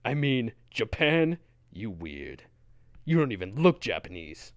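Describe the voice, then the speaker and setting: A man's voice: mid-range voice, very animated, unhurried, no echo, studio quality. A man playing the exasperated straight man in a comedy skit.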